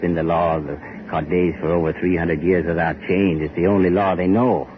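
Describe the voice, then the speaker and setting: A man acting a grim older factory boss from 1940s radio horror. His voice sits very low and he taunts in rough rustic phrases. The recording is dull, with heavy noise. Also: fairly even intonation, brisk, natural voice. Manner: menacing, taunting, rustic speech. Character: grim, bitter older male factory overseer.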